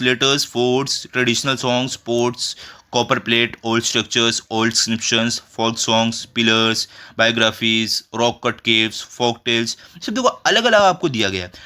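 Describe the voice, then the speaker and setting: Bright-voiced Indian man tutoring children. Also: medium pitch. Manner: repeats check phrases and rhetorical questions, instructive. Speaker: school tutor. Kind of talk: explanatory lesson for children, reading textbook and translating.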